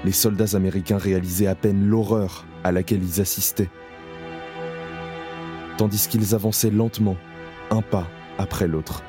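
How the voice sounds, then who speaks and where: A man with a very low, grave voice narrates in the first person, slow and solemn. Each phrase is measured and heavy. Clear music plays beneath him. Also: fairly even intonation. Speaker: narrator playing Isaac, camp prisoner. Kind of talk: audio drama narration. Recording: clear music bed under voice.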